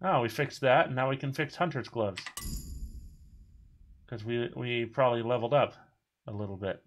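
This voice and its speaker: A man giving casual, rambling commentary while playing a video game, with a booming voice. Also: moderate pace. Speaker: streamer.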